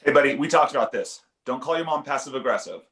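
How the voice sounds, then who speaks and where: A man's voice: medium pitch, expressive, fast talker, a bit bright. A man playing an easygoing, indulgent dad in his thirties, placating his family in a live comedy table read. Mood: gentle indulgent. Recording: echoey room sound.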